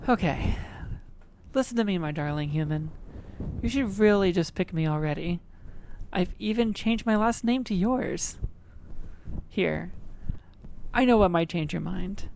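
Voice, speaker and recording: A woman's voice: lowish voice, unhurried, vocal fry, long pauses, a little mellow, slight background noise. A woman playing an obsessive, boastful kitsune fox girl in an ASMR roleplay, cajoling and dramatic. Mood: devoted, cajoling.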